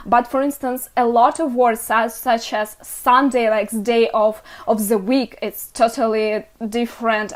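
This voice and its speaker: A woman with a Ukrainian accent explaining things conversationally as an interview guest, haltingly searching for words. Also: fairly high pitch. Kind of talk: conversational explanation of language and market.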